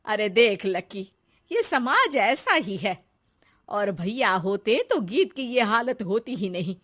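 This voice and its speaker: A woman voicing a stern, disapproving middle-aged mother-in-law in an animated moral story. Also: mid-range voice, takes it slowly, full character voice. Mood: dismissive.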